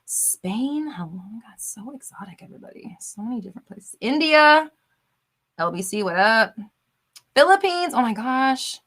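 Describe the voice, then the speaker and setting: Host type: upbeat woman, animated pitch, bright and chatty, reads comments live. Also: moderate pace. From United States. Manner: bubbly, laughs often, reads out viewer comments. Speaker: livestream host. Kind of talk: casual live chat reading comments.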